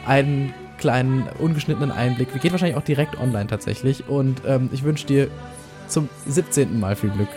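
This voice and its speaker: A man's voice: mid-range voice, brisk, music in the background. A man, chatting casually and a bit ramblingly as a podcast host on a call. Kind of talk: casual phone conversation. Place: makeshift room away from usual studio. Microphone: good podcast microphone.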